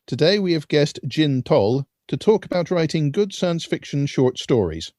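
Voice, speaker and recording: A man co-hosting a podcast who asks his guest questions in a lively, enthusiastic way. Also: fairly high pitch, moderate pace, no room sound, clean studio sound. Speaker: podcast co-host. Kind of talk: podcast interview.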